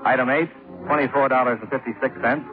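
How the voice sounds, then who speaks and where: An American actor playing a hard-boiled, wry investigator, narrating a 1950s radio detective drama in a clipped, matter-of-fact way. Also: male voice, mid-range voice, steady tone, quick pace, close to an everyday voice. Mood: wry narration. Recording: tinny old 1950s radio recording, music behind.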